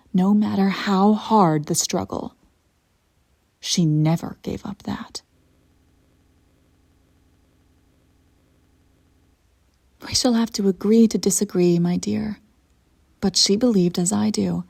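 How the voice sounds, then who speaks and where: A woman playing a ruthless, self-satisfied immortal evil empress in a scripted roleplay monologue, telling her tale in a haughty, intimate, theatrical way with a soft, husky, breathy voice. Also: mid-range voice. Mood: proud, firm. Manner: deliberate dramatic storytelling, haughty and intimate.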